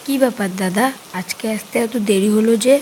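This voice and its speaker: A woman voicing a supportive, gentle younger brother in a cartoon moral story. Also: mid-range voice, fairly quick, background noise, close to an everyday voice. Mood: encouraging.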